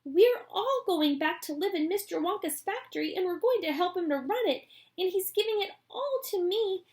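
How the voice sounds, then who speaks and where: A woman voicing an eager young boy in a storybook reading, talking in an excited rush. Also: on the high side, moderate pace, pitched above the performer's own voice, lightly acted. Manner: excited and breathless.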